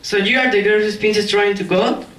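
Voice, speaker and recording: A woman voicing a commanding, greedy male king in an imperious, booming manner for a told fairy tale. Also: steady tone, fairly quick, a bit bright, natural voice. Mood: demanding. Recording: roomy, distant, faint noise.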